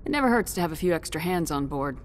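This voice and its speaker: A woman's voice: deep voice, lively intonation, fast talker, big-room sound, a little hiss or hum. An actress playing a blunt, controlled young female military commander in a video game. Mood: dutiful, cool. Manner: direct, controlled, no-nonsense.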